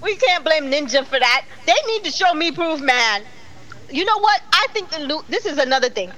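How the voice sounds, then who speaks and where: A middle-aged woman hosting a casual online talk show, animated and exclaiming, laughing often. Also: sits high, moderate pace, poor audio. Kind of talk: casual live-stream chat.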